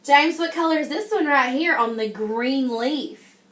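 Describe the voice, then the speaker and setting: A woman's voice: high-pitched, a little slow, a bit bright. A woman teacher reading a picture book to young children, encouraging them and often stopping to ask questions. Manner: encouraging, addresses the class as guys, frequent check-in questions. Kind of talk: interactive classroom read-aloud with questions and praise. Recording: echoey room.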